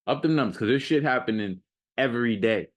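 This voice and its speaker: A man's voice: mid-range voice, lively intonation, fairly quick. A man giving an unscripted motivational monologue as a self-improvement coach, talking in an emphatic, repetitive, slangy and casual way.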